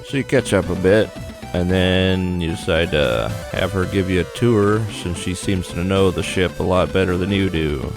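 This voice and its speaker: A man's voice: low-pitched, normal speed. A man hosting a gaming video, narrating a visual novel in a loose, offhand, casual way. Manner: loose, offhand paraphrasing of on-screen story. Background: video game music.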